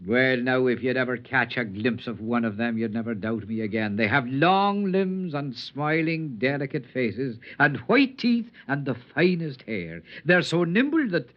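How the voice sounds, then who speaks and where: An actor playing a whimsical, lonely tramp in an old-time radio drama, speaking in a florid, lilting, poetic way. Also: male voice, medium pitch, expressive, measured pace, hardly any pauses, close to an everyday voice. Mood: whimsical, eager. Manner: lilting, florid, theatrical speech. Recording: dull vintage radio recording.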